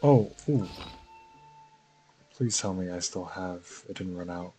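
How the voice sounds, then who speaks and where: A man's voice: deep voice, takes it slowly, warm tone, faint music behind. A man streaming a game, giving casual live commentary as he mutters to himself.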